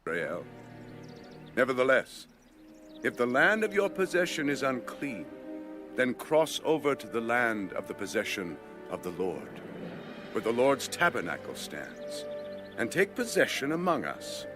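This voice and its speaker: A man's voice: medium pitch, sing-song, unhurried, over music. A man voicing a stern priestly envoy in a dramatized audio Bible, speaking in a grave, accusing, declamatory way. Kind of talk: dramatized scripture reading.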